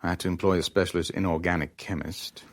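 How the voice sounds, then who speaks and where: A pragmatic businessman in a radio play. His voice is low and sits in a dry studio sound. He talks in a measured, wry, understated way. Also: steady tone, no room sound. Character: pragmatic, wry male businessman, sceptical and worldly. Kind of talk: radio drama dialogue and first-person narration.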